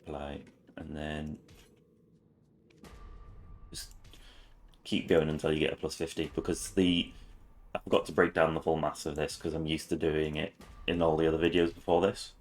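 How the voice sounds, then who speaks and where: A man with a raspy voice this morning, talking through a game guide with many ums and restarts, slipping in words such as "whilst". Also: extremely low pitch, level tone, moderate pace, pauses often. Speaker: gaming channel host.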